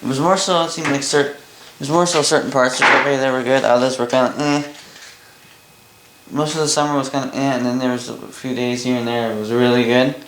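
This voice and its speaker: A Canadian man gives a casual, unscripted demonstration. He speaks offhandedly and haltingly, as if working it out while he talks. The room is noticeably echoey, with faint noise and clicks behind him. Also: mid-range voice, level tone. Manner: halting, offhand, thinking aloud. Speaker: hobbyist presenter demonstrating a vintage boombox. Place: indoor room at a workbench by a window. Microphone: camera mic a short distance away.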